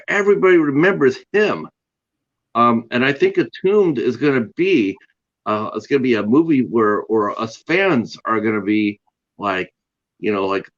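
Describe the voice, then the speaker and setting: A man's voice: medium pitch, lo-fi sound. A man hosting an interview, asking long, rambling questions with eager enthusiasm. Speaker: interview host. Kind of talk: casual interview chat.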